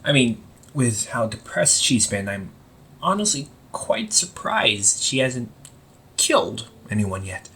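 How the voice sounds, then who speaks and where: A man playing an anxious, wry young supervillain in a casual audio roleplay, talking informally with exasperated sighs and halting phrases. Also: mid-range voice, big pitch swings, sharp tone, slight background noise, full character voice. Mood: wry, resigned. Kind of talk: amateur audio roleplay, casual conversational acting.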